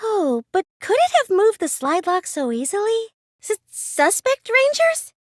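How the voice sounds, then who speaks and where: Very high-voiced, meek anime schoolgirl. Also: very animated, measured pace, dry recording, studio quality, full character voice. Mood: unsure, mild. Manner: hesitant, mild, questioning. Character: plain, meek, nerdy teenage girl in a murder mystery. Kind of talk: anime video game English dub acting.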